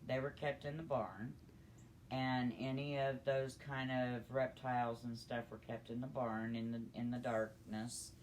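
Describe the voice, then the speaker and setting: Older female storyteller, folksy and plain-spoken, relaxed chatty delivery, slightly noisy amateur recording. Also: extremely low pitch, unhurried. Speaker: storytelling guest. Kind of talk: casual storytelling.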